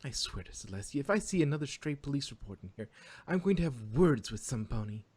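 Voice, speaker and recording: A man's voice: medium pitch, very animated, fast, a little mellow, husky. A man voicing a stressed, irritable young female pony princess in an amateur audio drama, with emphatic exasperation. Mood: exasperated.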